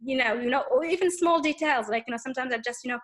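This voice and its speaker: A woman's voice: high voice, quick pace. A woman from Tunisia whose first language is Arabic, a teacher talking casually in English with many restarts and fillers. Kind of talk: casual conversation.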